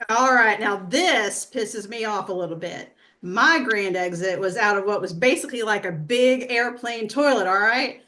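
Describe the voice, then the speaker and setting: A woman playing a brash, earthy abductee in a comedy sketch read, telling it in a blunt, folksy, deadpan way. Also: medium pitch, normal speed, hardly any pauses, lightly acted. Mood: annoyed.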